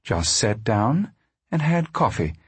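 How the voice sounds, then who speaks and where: A man's voice: medium pitch, sing-song, slow, slightly rough, no echo, full character voice. A man voicing a plain-spoken, protective farm mother in a storybook. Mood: plain, casual. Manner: folksy, firm.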